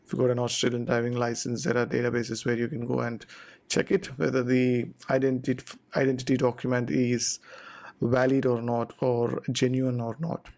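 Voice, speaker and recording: A man giving an informal explainer talk to viewers, deliberate and step-by-step in short phrases. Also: medium pitch, monotone, gravelly, darkish tone. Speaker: presenter explaining visa procedures.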